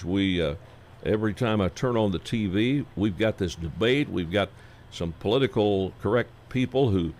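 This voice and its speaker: A man hosting a casual radio interview, folksy and halting, with many fillers. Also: on the low side, darkish tone, a little hiss or hum. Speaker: radio host.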